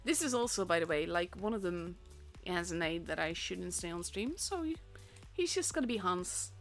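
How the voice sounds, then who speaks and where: Dutch girl casting games on stream, chatty, lots of likes and restarts, soft music in the back. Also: on the low side, expressive, moderate pace. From Netherlands. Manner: chatty, rambling, frequent fillers and restarts. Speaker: esports caster and streamer. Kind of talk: casual live-stream commentary. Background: faint stream music.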